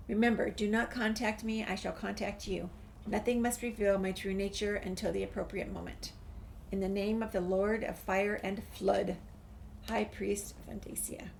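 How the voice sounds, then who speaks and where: A woman with a creaky voice, reading a game's documents and dialogue aloud as a gameplay streamer. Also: mid-range voice, darkish tone. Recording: faint background noise, game ambience.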